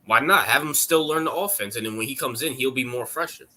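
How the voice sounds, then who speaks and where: A man in his early twenties in a casual sports debate, brash and excitable and swearing freely. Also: mid-range voice, fast. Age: 20s.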